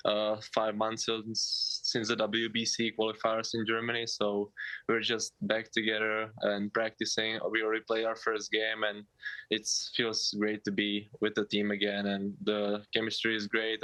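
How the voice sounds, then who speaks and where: A man's voice: fairly low pitch, bright, forceful tone, no echo, poor audio. A man in his twenties with a Czech accent, a native Czech speaker, answering interview questions as a college athlete in a hesitant way. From Czech Republic. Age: 20s. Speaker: college baseball player, interview guest.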